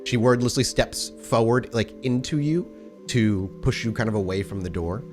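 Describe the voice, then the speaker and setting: A man narrating a tabletop role-playing game as storyteller, descriptive and deliberate, feeling his way through the scene. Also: mid-range voice. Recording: music behind the voice. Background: music bed.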